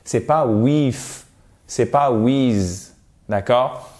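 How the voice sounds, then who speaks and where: Man, native French speaker, English teacher, slow deliberate delivery, patient, explanatory. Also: mid-range voice, darkish tone. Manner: slow, patient, explanatory, repeats words for pronunciation. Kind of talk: video lesson.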